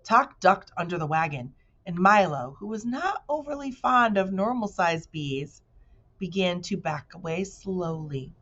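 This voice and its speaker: A woman reading a children's book aloud like a teacher to pupils, with expressive narration and casual explanatory asides. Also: lowish voice, unhurried, lo-fi sound.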